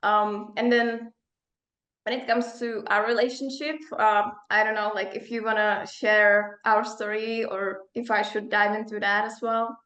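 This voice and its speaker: A woman's voice: mid-range voice, flat delivery, unhurried, a bit bright, reverberant. A young woman from the Czech Republic with a Czech accent, talking casually and discursively as a coach being interviewed. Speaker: performance coach and psychologist.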